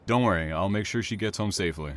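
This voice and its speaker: An actor playing a calm, courteous, quietly intense young man in an audio drama, speaking in a measured, understated way. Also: on the low side, close to an everyday voice. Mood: friendly, easygoing. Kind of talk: naturalistic audio drama acting.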